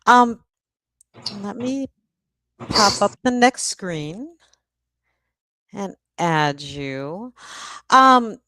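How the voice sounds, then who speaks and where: Woman hosting a live stream, really unhurried, dry close sound with no room on it. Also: medium pitch, animated, bright, forceful tone, dry recording. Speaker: host, publisher. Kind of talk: casual livestream hosting.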